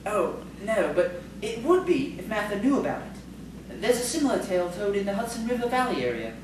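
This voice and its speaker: A woman's voice: mid-range voice, big pitch swings, brisk, natural voice. A woman playing a polite, eager, bookish male schoolteacher in audio theatre, with a hesitant, formal manner. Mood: eager, informative. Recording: distant, very echoey, noisy stage recording.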